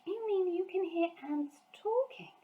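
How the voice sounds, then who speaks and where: A woman's voice: very high-pitched, unhurried, phone-quality sound, pitched above the performer's own voice. A woman voicing a curious, polite, wide-eyed young girl in a children's storybook, with eager questions and exclamations. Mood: impressed, curious. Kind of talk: acted children's storybook dialogue.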